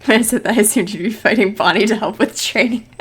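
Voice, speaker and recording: A woman's voice: mid-range voice, fast, slight background noise. A woman doing let's play commentary and reading a video game's narration aloud. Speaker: streamer playing and voicing a video game. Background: faint game music.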